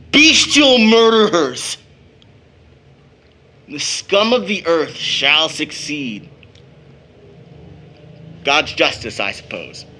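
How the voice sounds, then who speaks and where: A man's voice: sits high, bright, forceful tone, exaggerated voice. An actor playing a young man, a devoted son with a bitter, teasing streak, in a dramatic audio play. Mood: bitter, anguished. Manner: dramatic, emphatic delivery with long pauses. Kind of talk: stage-style audio drama acting.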